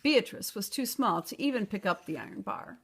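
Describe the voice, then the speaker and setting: A woman storyteller reading a children's picture book aloud in an expressive narrating style. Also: medium pitch, moderate pace, husky.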